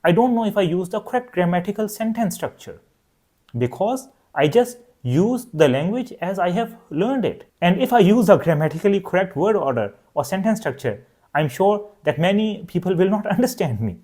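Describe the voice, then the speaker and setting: Man, native Urdu speaker, young adult, online language teacher, clear steady explaining, slightly high voice, very lively intonation. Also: normal speed. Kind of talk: scripted online lesson.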